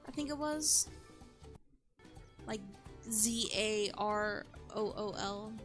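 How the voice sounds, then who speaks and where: A woman's voice: medium pitch, slow, a bit bright, faint music behind. A woman streamer giving casual gameplay commentary, rambling with lots of fillers and restarts. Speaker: vtuber streamer.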